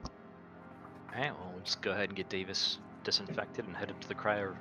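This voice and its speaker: A man's voice: low-pitched, little pitch movement, normal speed, music in the background. A man chatting casually as a player in a tabletop roleplaying game. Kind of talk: casual in-character game chat. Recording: clear background noise.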